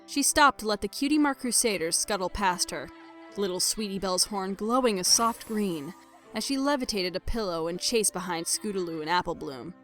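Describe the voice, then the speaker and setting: A woman reading a scripted dramatic story as narrator, measured and expressive in her delivery. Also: mid-range voice, faint music behind.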